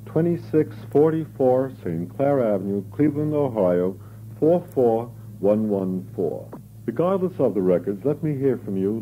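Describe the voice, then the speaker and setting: A man hosting a radio music programme, presenting old records in an earnest, measured way. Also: fairly low pitch, mellow, low-quality recording. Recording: vintage radio aircheck with faint noise.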